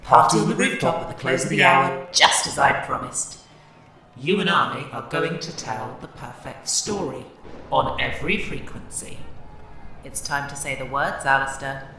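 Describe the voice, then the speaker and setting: A man's voice: mid-range voice, normal speed, pushed and bright. An actor playing a menacing, theatrical male witch who taunts and controls in an audio horror drama. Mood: gloating. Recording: echoey, distant, faint noise.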